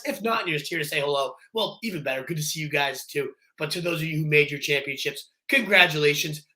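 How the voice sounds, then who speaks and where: Hoarse, excitable sports show host. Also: male voice, on the high side. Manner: excited, fast-talking hype. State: hoarse from a cold. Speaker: fantasy football show host. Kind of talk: energetic livestream sports advice.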